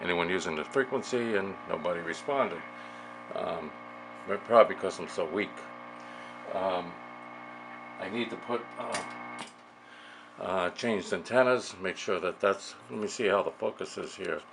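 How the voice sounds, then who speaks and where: A man casually demonstrating amateur radio gear, haltingly thinking aloud as he works the controls. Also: low-pitched. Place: beside operating radio equipment. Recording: noisy recording with clear background hiss.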